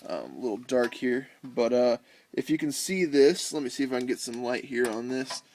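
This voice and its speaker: A man with an American accent hosting a homemade gear demonstration in casual, unscripted talk, halting and thinking aloud as he explains. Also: mid-range voice, a little mellow, a little hiss or hum. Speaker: host of a DIY music gear video.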